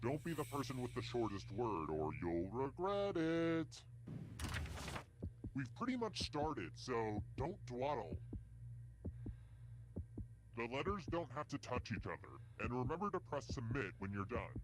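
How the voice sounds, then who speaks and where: A man's voice: medium pitch, big pitch swings, a little slow, long pauses, background noise. An actor playing a sardonic, theatrical male host of a macabre trivia video game. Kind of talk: scripted game show hosting.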